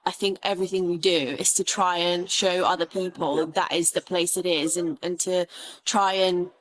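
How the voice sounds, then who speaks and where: A woman's voice: on the low side, steady tone, moderate pace, no echo, rough recording. A young woman student, born in Iran and raised in England, answering interview questions earnestly with many fillers and restarts. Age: young adult. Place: outdoors at a protest.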